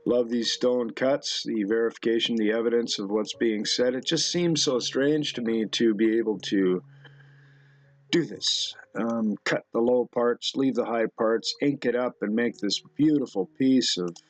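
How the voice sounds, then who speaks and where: A middle-aged man giving rambling off-the-cuff commentary in a halting, musing way, as if talking to himself at his computer. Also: medium pitch, no room sound. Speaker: video host. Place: indoor room at a computer.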